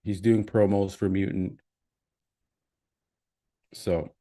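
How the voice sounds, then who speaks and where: A man's voice: sits low, little pitch movement, slow, warm tone. A man with a Canadian accent hosting a live stream, chatting casually and reading out where viewers are from. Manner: chatty, reads out viewer comments. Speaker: livestream host.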